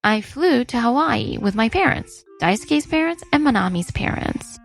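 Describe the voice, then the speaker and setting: A wronged wife played by a woman narrates a manga revenge drama. Her voice is fairly high with a lot of creak, and her delivery stays composed over background music. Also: lively intonation, moderate pace. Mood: composed, storytelling. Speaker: narrator and lead character. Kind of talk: scripted narration for a manga story dub. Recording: music under the voice.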